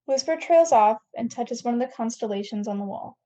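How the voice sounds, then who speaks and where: A woman's voice: on the high side, animated, fairly quick, mellow. A woman reading scene headings and stage directions as narrator of a table read, on a dull lo-fi recording.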